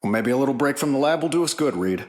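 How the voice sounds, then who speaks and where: An actor voicing a confident, sardonic inventor superhero in a video game, wry and glib. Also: male voice, mid-range voice, expressive, fast talker, darkish tone, natural voice.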